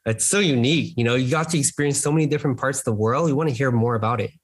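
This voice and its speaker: A man's voice: medium pitch, racing. A man co-hosting an interview podcast, addressing listeners and guest in an informal way. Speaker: podcast co-host. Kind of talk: scripted intro and casual interviewing.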